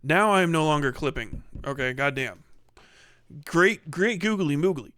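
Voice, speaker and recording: A man hosting a livestream in casual, rambling, sweary chat, with an animated way of talking into a close mic. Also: on the high side, moderate pace, clear, resonant tone, dry recording. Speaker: livestream host.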